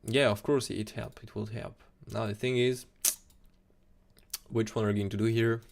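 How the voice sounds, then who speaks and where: A young man with a French accent, talking casually on a live stream about trading charts, excitable and full of restarts, recorded at a desk mic in a small room. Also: on the low side, normal speed, creaky. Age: young adult. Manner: excitable, repeats "absolutely insane", many restarts. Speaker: crypto chart analyst streamer.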